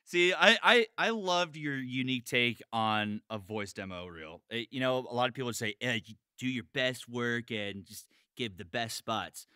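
A man's voice: mid-range voice, moderate pace, hardly any pauses, bright, forceful tone, clear, resonant tone, dry recording, clean studio sound. A man chatting casually and emphatically on a podcast, often repeating his words. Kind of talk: casual chat.

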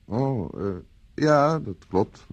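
An actor from the Netherlands playing a wry, flirtatious gentleman bachelor in a radio drama. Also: mid-range voice, lively intonation, very slow. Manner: wry, courteous, mock-grumbling. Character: suave, wry, flirtatious bachelor, friend of a gambler.

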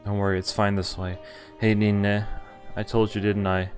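A man's voice: lowish voice, level tone, normal speed. A young man streaming a visual novel, reading its text aloud and adding casual commentary. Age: young adult. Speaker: game streamer. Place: indoor room, webcam stream. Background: game music.

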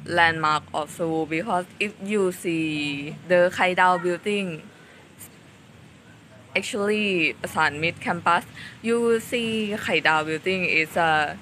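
Young woman, slow careful delivery, hesitant, phone vlog, faint hiss behind. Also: fairly low pitch, a bit bright. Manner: hesitant, searching for words. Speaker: psychology student. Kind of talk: casual campus tour vlog. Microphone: phone held at arm's length.

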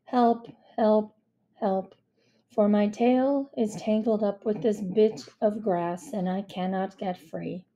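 A small, sarcastic storybook cat voiced by a woman, utterly bored and deadpan. The voice is dark and creaky, with listless, unenthusiastic delivery. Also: medium pitch, slow, dull audio. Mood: flat, listless. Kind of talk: children's storybook character voice.

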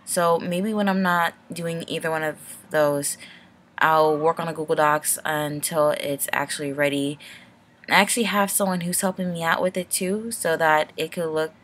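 A woman's voice: deep voice, steady tone, moderate pace, fairly bright tone. A young woman giving a casual, rambling channel update in a hesitant, apologetic, nervous way. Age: young adult.

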